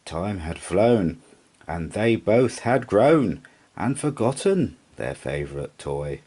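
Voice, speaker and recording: A man reading a children's story aloud as rhythmic, singsong verse with expressive narration. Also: sits low, slow, breathy edge. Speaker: storybook reader.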